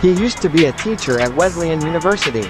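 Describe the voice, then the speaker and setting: A man voicing a kindly, calm mentor with a measured manner in an audio novel. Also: a little high, close to an everyday voice. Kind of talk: scripted audio novel dialogue. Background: music.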